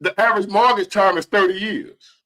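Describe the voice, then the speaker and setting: A man in his fifties giving earnest, preachy motivational talk to his viewers, repeating key phrases and swinging between loud and hushed. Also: high voice, fairly quick, low-quality recording. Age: 50s. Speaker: motivational vlogger.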